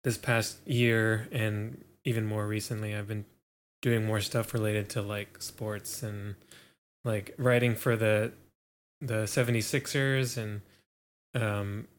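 A man's voice: lowish voice, slow, slight background noise. Laid-back young man co-hosting a podcast. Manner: relaxed, laid-back, many fillers and chuckles. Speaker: podcast co-host. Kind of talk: casual chat.

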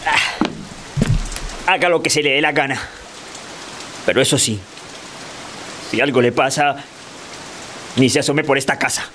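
An actor playing an anxious, gruff provincial schoolteacher husband in a radio drama, with an emotive delivery. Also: male voice, mid-range voice, steady tone, measured pace, pauses often, background noise, lightly acted. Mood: gruff, annoyed. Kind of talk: radio theatre acting.

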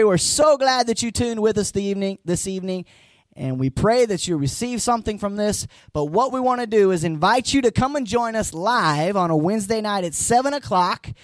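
A hoarse pastor, preaching a live sermon with folksy asides and emphatic, rising lines. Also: male voice, high-pitched, no echo.

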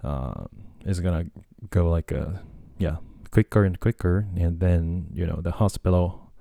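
A man's voice: sits low, a little slow, creaky, warm tone, dry recording, clean studio sound. A man from Japan with a Japanese accent, talking casually and hesitantly on his own podcast, with many fillers and restarts. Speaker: podcast host and language tutor. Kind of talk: casual solo talk.

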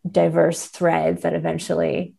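A woman's voice: sits low, completely flat delivery, creaky, dark tone, husky. A woman speaking as a podcast guest and literary scholar, reflective and careful as she works through her analysis. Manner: measured, reflective, careful hedging. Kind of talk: thoughtful literary discussion.

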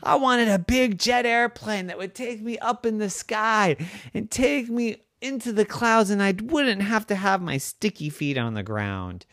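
A dreamy little boy, as a storyteller puts him on, whiny and wistful, his voice swooping between high and low. It sounds close and dry. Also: animated, unhurried, a bit bright, no room sound. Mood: eager. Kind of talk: improvised children's story.

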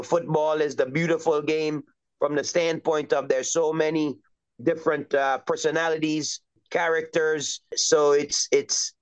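A middle-aged man from Trinidad and Tobago chats easily as an interview guest. He wanders through his answers with plenty of ums and you-knows. His voice is smooth and resonant, though the recording sounds a little dull and lo-fi. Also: a little high. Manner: easygoing, rambling with many fillers. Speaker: soccer coach, guest. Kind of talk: casual interview chat.

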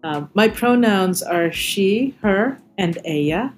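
A middle-aged American woman from New York City, talking reflectively as an interview guest in measured, articulate and deliberate phrases. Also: medium pitch, expressive, faint music behind. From New York City, United States. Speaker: interview guest, corporate diversity executive. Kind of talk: reflective personal talk in an interview.

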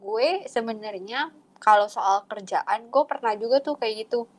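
A young woman hosting a casual podcast chat, relaxed and teasing, mixing English words into her talk. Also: fairly high pitch, unhurried. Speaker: podcast host.

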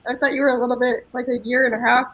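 A woman's voice: fairly high pitch, level tone, rapid-fire, highs cut off, slight background noise. A woman in her twenties chatting casually as a podcast participant. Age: 20s. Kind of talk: casual chat.

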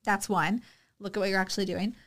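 Woman podcast co-host, casual chat, unhurried and reflective, easygoing. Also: mid-range voice. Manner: relaxed, reflective, hesitant with many fillers.

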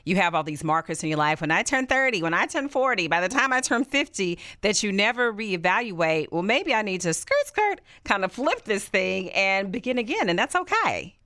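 Lively, expressive woman interview host. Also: medium pitch, normal speed, few pauses, no echo, clean studio sound. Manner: enthusiastic, affirming, expressive. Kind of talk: conversational interview.

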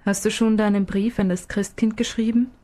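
Storybook father read by a woman, patient, gently probing, explaining things step by step. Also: medium pitch, level tone, normal speed, lightly acted. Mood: questioning.

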